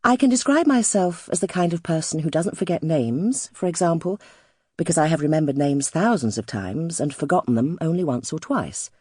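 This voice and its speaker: A woman narrating first-person literary fiction as an audiobook, in a wry, expressive storytelling manner. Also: sits low, moderate pace, slightly rough, no echo, clean studio sound.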